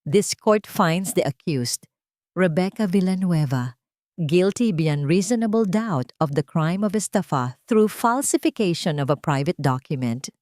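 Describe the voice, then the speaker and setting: Woman as a stern judge, slow and formal. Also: on the low side, expressive, a little mellow, no echo, studio quality. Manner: formal, measured, deliberate. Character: stern, emotionless judge reading out a verdict.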